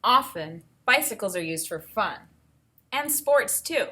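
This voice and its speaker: A woman teacher reading aloud to children and thinking out loud, explaining things step by step in an instructive way. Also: mid-range voice, expressive, a little slow, slight background noise. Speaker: elementary school teacher.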